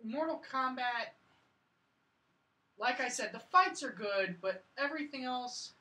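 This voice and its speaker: A young podcast co-host with a bright voice debates films in a casual group chat. The delivery is insistent and argumentative, picked up at a distance in a very echoey room. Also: high voice, expressive, measured pace. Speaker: movie review podcast co-host. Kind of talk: casual group film discussion.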